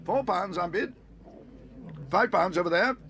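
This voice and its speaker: A man voicing a brisk, formal auctioneer in a storybook, calling out bids in a showy, pressing chant. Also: sits high, a bit bright, a little hiss or hum, voice pushed up.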